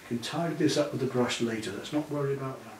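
A man's voice: mid-range voice, quick pace. Older guy in his 60s teaching painting, patient, echoey room, mic a bit away, some noise. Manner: patient, reassuring, instructing step by step. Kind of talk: hands-on demonstration to a student. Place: living room at home, indoors. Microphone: camera a few feet away. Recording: roomy, distant mic with background noise.

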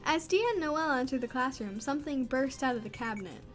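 A woman's voice: sits high, fast talker, fairly bright tone, soft background music. A woman reading a visual novel aloud as a gaming channel host, with chatty, amused asides.

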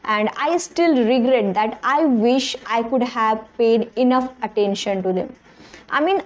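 A woman's voice: fairly high pitch, a little mellow, dull audio. A young woman whose first language is Hindi talks earnestly to the camera in her bedroom about learning English. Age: young adult. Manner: earnest, plain and direct. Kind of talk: casual talk to camera. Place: small bedroom indoors. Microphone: camera in front at close range.

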